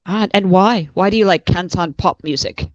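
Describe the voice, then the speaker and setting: A woman examiner leads the talk with short, plain follow-up questions. Her voice sits slightly low for a woman. The sound is dry and close. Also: normal speed, no room sound, dull audio. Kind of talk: mock speaking exam interview.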